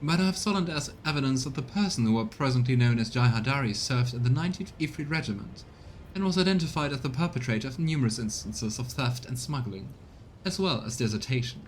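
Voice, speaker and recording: A man's voice: medium pitch, animated, quick pace, slight background noise, close to an everyday voice. A man voicing a prim, formal retainer in a video game, wordy and deferential in manner. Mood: officious, formal. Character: prim, formal retainer reporting to his lord.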